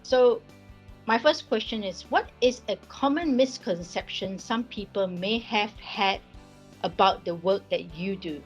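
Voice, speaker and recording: A woman's voice: mid-range voice, measured pace, faint music behind. A woman from Singapore with a Singaporean accent, talking casually as a risk advisory partner in a workplace interview. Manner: friendly, conversational with fillers.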